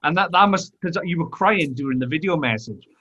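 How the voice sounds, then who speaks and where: A man's voice: on the high side, expressive, brisk, sharp tone. Welsh man hosting, dull audio. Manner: chatty, leading questions, tells anecdotes. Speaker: podcast host. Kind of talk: casual podcast interview.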